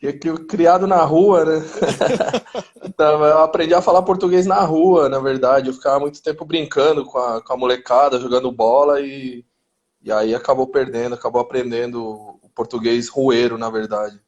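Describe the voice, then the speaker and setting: A man in his forties, born in Chile, answering interview questions in a relaxed way with street slang and frequent restarts. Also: medium pitch, normal speed, dull audio. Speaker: interview guest. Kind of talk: casual interview chat.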